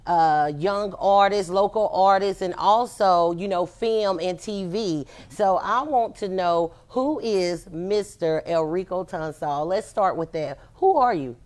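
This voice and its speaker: A woman's voice: lowish voice, slow pace, hardly any pauses, a little mellow. A woman hosting a talk show in an enthusiastic, affirming way, chatting with her guest. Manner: affirming, interjecting amens and wows. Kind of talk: enthusiastic interview chat.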